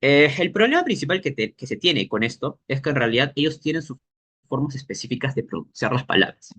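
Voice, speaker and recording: Man teaching in a relaxed way. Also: medium pitch, slightly rough. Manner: explains thinking aloud, pausing to search for words. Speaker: language workshop teacher. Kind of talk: informal workshop lesson.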